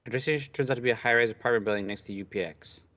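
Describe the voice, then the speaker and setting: A man's voice: medium pitch, racing, a bit bright. A man co-hosting a casual visual novel stream, joking, needling and swearing freely, with music behind him. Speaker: stream co-host reading the game's lines aloud. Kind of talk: casual banter and reading aloud from a visual novel.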